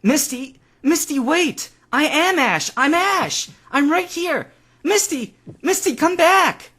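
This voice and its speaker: A man's voice: mid-range voice, lively intonation, normal speed. Man doing cold menacing young man. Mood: desperate. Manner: cold commands, bursts into desperate shouting.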